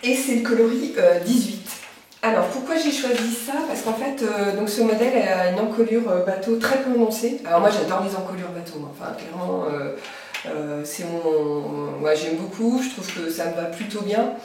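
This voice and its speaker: A woman's voice: medium pitch, normal speed, a little mellow, distant mic, slight background noise. A young woman from France with a cold, chatting casually and hesitantly about her knitting and sewing, with many fillers. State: has a cold. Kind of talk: casual chat. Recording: very echoey room, voice from afar.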